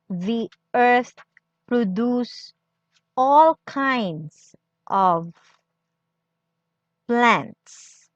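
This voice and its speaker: A woman gives a solemn reading of scripture at an extremely slow pace. She sets out short phrases one by one, with pauses between them. The sound is dry and somewhat dull, like a modest lo-fi recording. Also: medium pitch, dry recording. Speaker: scripture reader.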